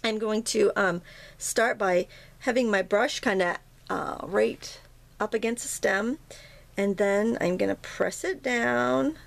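A woman talks through a watercolor lesson while she paints at a table, her voice a little hoarse and gravelly with vocal fry. She chats casually, restarting sentences and filling gaps with ums as she works. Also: mid-range voice, slow pace. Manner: chatty, self-interrupting, frequent ums and restarts. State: slightly hoarse, morning froggy throat. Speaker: watercolor teacher. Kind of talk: casual painting tutorial narration.

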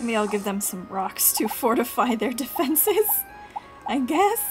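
A woman's voice: a little high, big pitch swings, a little slow. Playful woman streamer over game music. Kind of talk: casual gaming commentary, reading game dialogue aloud.

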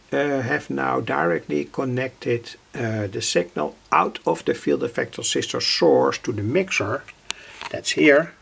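An older man with a husky, gravelly voice, giving an unscripted workbench demonstration in halting, hesitant phrases. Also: on the high side. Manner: halting, hesitant phrasing with repeated filler words. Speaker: radio hobbyist presenter. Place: indoor home electronics workbench.

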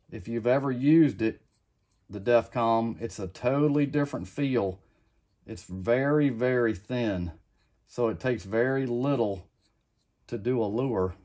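Relaxed man rambling at a workbench. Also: medium pitch, a little slow. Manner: rambling, repeats "like I said", relaxed and plain-spoken. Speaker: custom lure maker. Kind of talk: casual how-to demonstration. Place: home workbench, indoors.

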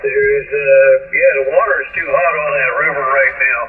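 A man's voice: medium pitch, animated, normal speed. A man chatting casually and without hurry in a folksy way as an amateur radio operator, over a shortwave radio link. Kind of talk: casual two-way radio chat. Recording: muffled shortwave radio, distant, static hiss.

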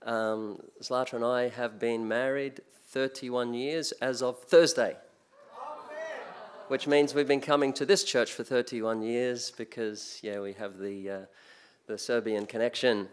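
An older Australian man preaching at a church pulpit into a mic. His delivery is relaxed and conversational, full of small restarts and fillers. His pitch sits in the middle range. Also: measured pace, clean tone. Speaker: guest preacher. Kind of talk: sermon. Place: church sanctuary, speaking at a pulpit.

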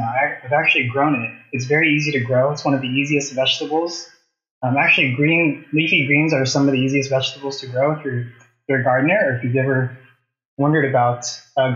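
A man in his thirties talks in a relaxed, conversational way, like a host walking viewers through a recipe. His voice sits at an ordinary pitch. The sound is noticeably roomy and a little dull, as in a home kitchen. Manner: conversational, asks viewers questions while working. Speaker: cooking show host. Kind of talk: casual live cooking demonstration, chatting to viewers.

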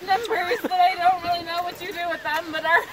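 A young woman with a Chicago accent and a high voice announces each playground obstacle in a mock-serious commentator's tone. She is outdoors in a park, picked up on a phone, with background voices in the mix. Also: fairly even intonation, quick pace, a crowd in the background, poor audio. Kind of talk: playful play-by-play narration. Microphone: phone camera held by someone else.

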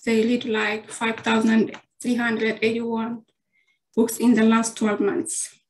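Woman from ethiopia reading her project slides, kinda high voice, echoey room on a call. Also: completely flat delivery, takes it slowly. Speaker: bootcamp student presenting a capstone. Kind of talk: reading a prepared presentation. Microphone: video call.

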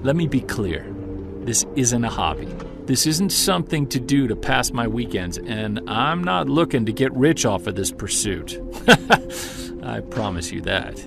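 A man playing a driven, defiant Bigfoot hunter narrating an audio-drama journal with earnest intensity and emphatic pauses. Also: medium pitch, expressive, gravelly, over music. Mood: defiant, determined.

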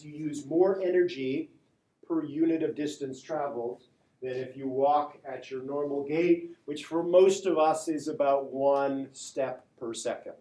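A man's voice: a little high, mellow. A male university lecturer giving a classroom lecture, conversational, often checking in with "right?" and restarting phrases. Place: large lecture hall. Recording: echoey lecture hall.

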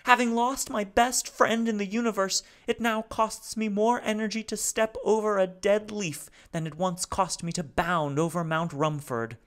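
A man voicing a grief-stricken little old male alien machine who speaks haltingly, as if lamenting. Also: high-pitched, fairly even intonation, fairly bright tone, voice pushed up. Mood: mournful.

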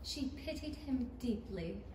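A woman's voice: fairly high pitch, lively intonation, a little slow, mellow, slight background noise. A woman narrating a storybook radio play from a script in an echoey hall. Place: small theatre stage. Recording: echoey hall, distant mic.

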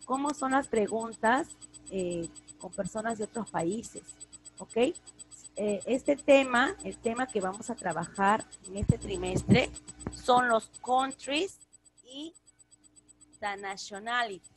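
A middle-aged woman leads a video-call class, talking slowly and drawing out each English word. Her voice sits a little high, and a faint hiss runs under the headset mic. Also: low-quality recording. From Peru. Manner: patient, repeats phrases, drawn-out English words. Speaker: English teacher. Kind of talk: online class teaching. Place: home room with altar behind.

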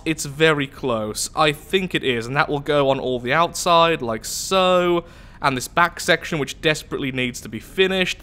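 A man's voice: fairly high pitch, pushed and bright. A young man with a flu-stuffed, nasal voice, chatting casually and poking fun at himself while commentating a space game. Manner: chatty, self-mocking, thinking aloud. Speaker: gameplay commentator. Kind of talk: let's play commentary.

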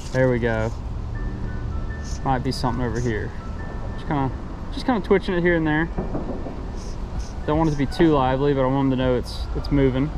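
A man's voice: medium pitch, rough recording. A man, chatting casually in a fishing vlog while he casts by an outdoor creek. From Texas. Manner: easygoing, excited, talks while casting. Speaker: fishing vlogger. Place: outdoors by a creek under an underpass. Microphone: camera mic, held close or filmed from a few steps away.

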